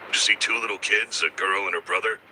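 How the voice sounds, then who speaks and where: A man's voice: fairly low pitch, steady tone, fast, pushed and bright, rough recording. An actor playing a modest, caring man in a video game, speaking in a hesitant, sincere way. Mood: relieved, cautious. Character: modest, grateful, caring man warning of a monster. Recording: clear background noise.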